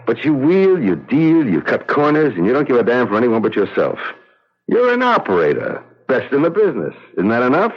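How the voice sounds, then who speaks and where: An actor playing a blunt, level-headed middle-aged confidant, needling and streetwise, in 1970s radio drama style. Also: male voice, medium pitch, wildly swooping pitch, brisk. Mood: wry, needling. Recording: muffled vintage radio broadcast, music behind.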